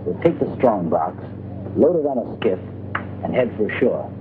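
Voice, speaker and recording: An actor in his thirties playing a scheming gangster skipper in a 1960s film comedy, giving instructions in a deliberate way. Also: on the low side, big pitch swings. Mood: instructing. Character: scheming gangster skipper, patiently laying out a plan. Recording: muffled, noisy old film soundtrack.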